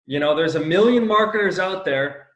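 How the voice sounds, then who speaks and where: A man's voice: fairly high pitch, animated, normal speed, fairly bright tone, echoey room. A man hosting a casual podcast interview, enthusiastic and slangy, calling his guest "dude" and "bro". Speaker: podcast host.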